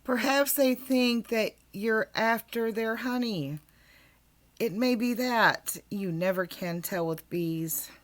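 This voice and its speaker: Slow-paced woman reading a children's story. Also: on the high side. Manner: expressive, addressing young listeners with asides.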